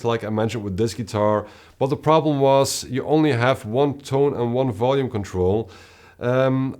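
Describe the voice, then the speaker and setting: A man with a deep voice, a guitarist and channel host, explaining his guitar setup in a steady, casual way with occasional filler sounds. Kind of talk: casual gear talk to camera.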